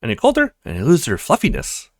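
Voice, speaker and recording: A man's voice: fairly high pitch, huge pitch swings, fast talker, gravelly, no echo, studio quality, pitched above the performer's own voice, full character voice. A man voicing a shy, quirky, earnest young female student in a visual novel. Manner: timid, earnest. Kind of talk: visual novel character reading.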